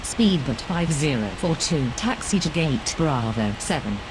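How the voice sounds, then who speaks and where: A woman's voice: sits low, lively intonation, a little slow. A woman voicing a formal airport radio operator in an aviation game, giving clipped, scripted call-outs. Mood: formal, neutral. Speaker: air traffic radio voices in a game. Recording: very noisy, roaring engine-like background.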